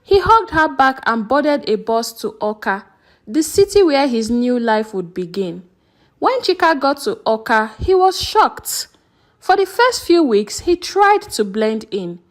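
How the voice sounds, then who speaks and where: A woman's voice: fairly high pitch, very animated, slow pace. A woman narrating a scripted folktale as a storyteller.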